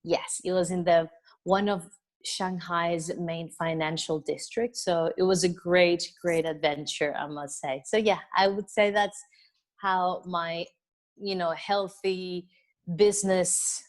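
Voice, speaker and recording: A middle-aged woman from Venezuela talks at length as an interview guest, in a voice pitched a little low. She rambles in an easygoing way, scattering "you know" through her answers and laughing softly now and then. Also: fairly even intonation, slow. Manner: chatty and expansive, with frequent "you know" and soft laughs. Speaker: interview guest, wellness business coach. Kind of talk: casual interview conversation.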